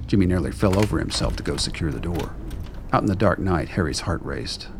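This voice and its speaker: A man's voice: sits low, lively intonation, a little fast, gravelly, noisy background. A man reading scripted dramatic narration as the narrator of a radio play. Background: music.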